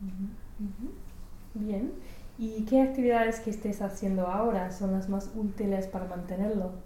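A woman's voice: medium pitch, steady tone, moderate pace, dark tone, echoey room, a little hiss or hum, poor audio. A woman conducting a research interview, asking short questions and giving brief encouraging backchannels.

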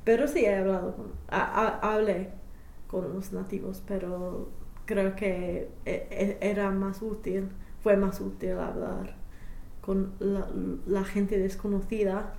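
A woman's voice: medium pitch, level tone, slow, a little mellow, echoey room, slight background noise, rough recording. A young woman, a native English speaker, answering interview questions as a language learner, hesitant with many fillers and restarts. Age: young adult.

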